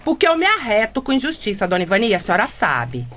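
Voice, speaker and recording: Uma atriz interpretando uma empregada doméstica brasileira atrevida e simples, com sotaque nordestino, que tropeça nas palavras difíceis em radionovela cômica. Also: female voice, medium pitch, huge pitch swings, fast, fairly bright tone, slight background noise. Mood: indignant. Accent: Northeastern Brazilian. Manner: folksy, malapropisms, stumbles over big words. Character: feisty, unpolished Brazilian housemaid, outspoken and defensive. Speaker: actor in a radio drama. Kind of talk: comedic radio drama acting.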